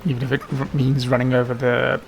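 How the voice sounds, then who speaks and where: Gravelly man narrating his gameplay. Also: medium pitch, little pitch movement, quick pace, slight background noise. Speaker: gaming video host. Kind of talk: casual gameplay commentary.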